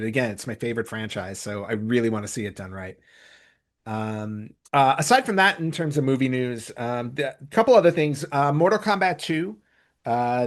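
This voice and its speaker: A man speaking as a podcast host in casual conversation, rambling and often rephrasing himself mid-sentence. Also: medium pitch. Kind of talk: casual conversational podcast talk about entertainment news.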